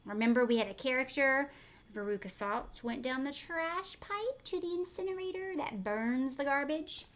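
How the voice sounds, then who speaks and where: A woman teacher reading a picture book aloud to children, expressive, with explanatory asides and questions. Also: high-pitched, normal speed.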